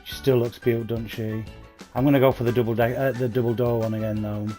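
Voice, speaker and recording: A man's voice: mid-range voice, level tone, fast talker, mellow, soft background music. Chatty man streaming a game. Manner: chatty, enthusiastic, talks to viewers by name. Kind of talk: casual livestream commentary. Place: at home playing on a console. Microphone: new gaming headset.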